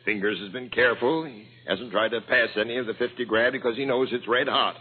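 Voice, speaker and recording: A man's voice: mid-range voice, brisk, breathy edge, lightly acted. A man playing a wry police captain in an old-time radio drama, reasoning things out in slangy terms. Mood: sardonic, reasoning. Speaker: actor in a radio crime drama. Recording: phone-like old radio recording.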